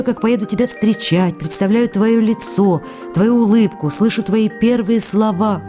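A woman's voice: mid-range voice, natural voice. An actress in a radio drama reading the tender, playful love letters of a young woman actress, in an intimate, questioning way.

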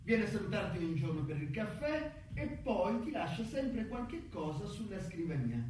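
A man's voice: high voice, big pitch swings, moderate pace, a little mellow. An actor playing a resentful, cynical male colleague who sneers and insinuates insistently in a stage drama. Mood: insinuating. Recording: echoey, distant stage sound, faint noise.

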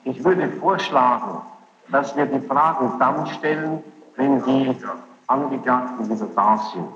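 A man presiding as judge, questioning and giving rulings in formal, halting phrases with pauses. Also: medium pitch, over music. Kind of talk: courtroom questioning and procedural rulings. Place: courtroom, taped from the room. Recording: echoey, muffled, noisy archival courtroom tape.

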